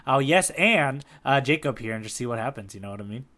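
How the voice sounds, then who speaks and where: A man co-hosting a podcast in casual chat, playful and punning, quick to laugh and agree. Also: medium pitch, animated, bright, forceful tone. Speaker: podcast co-host. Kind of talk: casual chat about a manga chapter.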